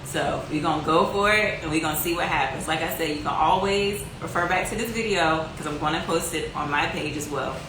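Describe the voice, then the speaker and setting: A woman's voice: low-pitched, fairly quick, few pauses. A Southern woman in her twenties from North Carolina, chatting casually and upbeat as she answers her viewers' questions. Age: 20s. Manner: chatty, upbeat, talks straight to viewers with lots of fillers. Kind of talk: casual livestream-style Q&A. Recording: echoey room with noticeable background noise.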